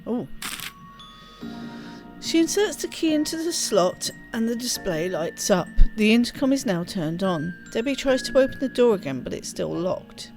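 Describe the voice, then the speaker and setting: A woman's voice: a little high, big pitch swings, normal speed. Woman reading game narration over music. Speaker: let's play host.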